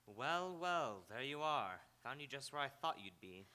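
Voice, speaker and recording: A man's voice: medium pitch, sing-song, measured pace, sharp tone. A man playing a suave, needling ex-husband in live radio theatre, dry and taunting with firm emphasis. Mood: breezy, mocking. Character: sardonic, needling, suave ex-husband, reformed drinker. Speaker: stage radio actor. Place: live on an auditorium stage.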